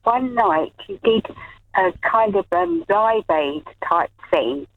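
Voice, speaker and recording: A woman calling in to a radio show, talking hesitantly with many pauses and restarts. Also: mid-range voice, lively intonation. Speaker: caller. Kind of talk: phone-in chat. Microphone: phone line. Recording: tinny dry phone line.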